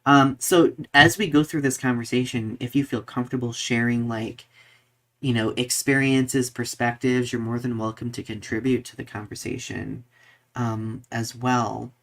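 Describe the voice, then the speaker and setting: A man's voice: medium pitch, steady tone, normal speed, fairly bright tone. Male podcast co-host, conversational and explanatory. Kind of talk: casual live podcast discussion.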